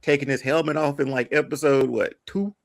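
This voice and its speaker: A man's voice: a little high, steady tone, a little fast, vocal fry. A man chatting casually as a podcast panelist, slangy and emphatic, often repeating his phrases for weight.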